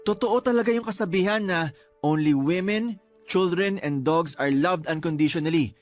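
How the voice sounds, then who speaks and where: A man's voice: high voice, moderate pace, dry recording, soft background music, close to an everyday voice. A man playing a sulky, complaining young boyfriend in a radio drama, whiny and exasperated in manner. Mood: grudging, self-pitying. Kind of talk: Tagalog radio drama acting.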